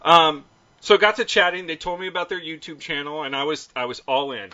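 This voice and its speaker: A man's voice: on the high side, brisk, lo-fi sound, a crowd in the background. A man hosting a podcast, chatting and interviewing guests in a playful, joking, self-deprecating way. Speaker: podcast host. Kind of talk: casual interview chat.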